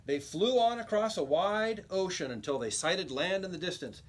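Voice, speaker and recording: A man reading a children's storybook aloud like a teacher, with expressive, emphatic storytelling. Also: sits high, moderate pace.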